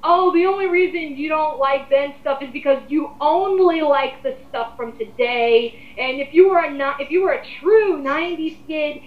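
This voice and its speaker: A woman's voice: high voice, normal speed, hardly any pauses, echoey room, phone-quality sound. A woman, giving blunt, rambling, emphatic opinions in a casual group discussion that she leads. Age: 20s. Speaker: host leading a group discussion. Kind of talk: casual opinionated commentary chat.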